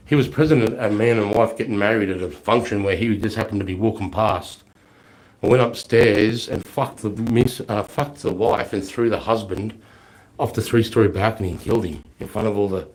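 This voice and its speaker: Aussie bloke in his 40s, lowish voice, flu-ish, swears a lot, rambles thru live q&a. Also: darkish tone, poor audio. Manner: blunt, rambling, heavy swearing. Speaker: livestreamer answering viewer questions. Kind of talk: casual live Q&A chat.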